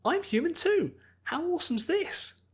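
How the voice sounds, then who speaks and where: Super excitable bubbly girl-next-door young woman, high and loud, gushes and gets distracted, audiobook character. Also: measured pace, heavily acted. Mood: thrilled. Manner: exuberant, gushing, easily distracted. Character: excitable, bubbly pony princess friend turned human young woman.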